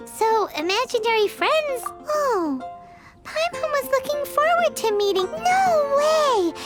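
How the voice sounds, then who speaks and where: A woman voicing a tiny, excitable childlike floating fairy in a game, squeaky and dramatic. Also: takes it slowly, full character voice. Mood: curious, excitable. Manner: refers to self in third person, dramatic exclaiming. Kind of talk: animated game dialogue. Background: game background music.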